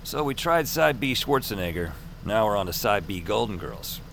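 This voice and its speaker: A man's voice: lowish voice, sing-song, moderate pace, vocal fry, a bit bright, slight background noise, exaggerated voice. An actor playing a flippant, wry young man with magic powers in an audio drama, speaking colloquially. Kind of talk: audio drama acting and first-person narration.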